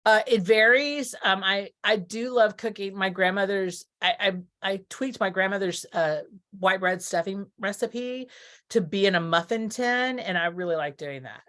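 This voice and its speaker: A woman's voice: medium pitch, moderate pace, fairly bright tone. A middle-aged woman from Texas giving casual interview answers, thinking aloud and correcting herself as she goes. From Texas, United States. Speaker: interview guest, theatre producer.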